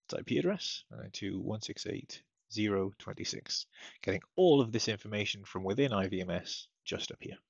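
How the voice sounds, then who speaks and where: A man with a low, husky voice talks through software steps casually, as a technical support presenter. The sound is dull and slightly lo-fi, recorded at a computer. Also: animated, moderate pace, dry recording. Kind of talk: casual step-by-step software tutorial narration. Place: indoors at a computer, screen-recording voice-over. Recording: dull lo-fi close dry microphone.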